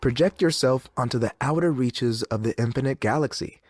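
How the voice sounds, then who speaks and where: An actor playing a serene but eerily cheerful radio DJ with a deliberate, reassuring announcer delivery. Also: medium pitch, no room sound, studio quality, natural voice. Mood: uncanny calm. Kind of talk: scripted fictional radio station patter.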